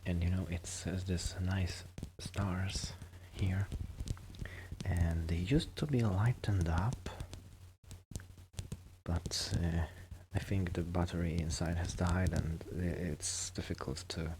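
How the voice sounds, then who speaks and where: A man doing a soft-spoken, casual ASMR roleplay, chatting gently and hesitantly to the listener, at times dropping into a whisper. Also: deep voice, steady tone, slow pace, slight background noise, low-quality recording. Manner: hesitant, rambling, gentle, often drifting into whispers. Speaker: ASMR performer playing a friendly host.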